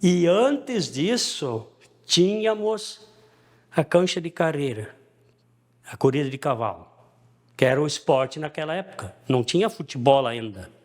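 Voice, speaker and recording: An older man, reminiscing about old local sports in an unhurried way. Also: on the high side, sing-song. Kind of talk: interview reminiscence.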